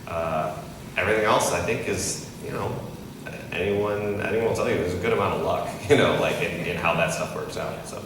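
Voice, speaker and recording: A man's voice: deep voice, normal speed. A man in his thirties, an entrepreneur and investor, answering interview questions in a loose conversational way, often adding "right?" as he talks. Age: 30s. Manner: conversational, many restarts and "right?" tags. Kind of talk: casual live interview on stage. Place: event hall with audience. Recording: echoey hall, far from the mic, noisy.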